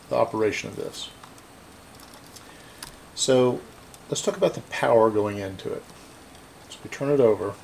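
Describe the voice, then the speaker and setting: A middle-aged man hosting a hands-on repair video, explaining casually and haltingly as he thinks aloud at an indoor workbench. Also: lowish voice, gravelly, slight background noise. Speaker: repair hobbyist host. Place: indoor cluttered electronics workbench.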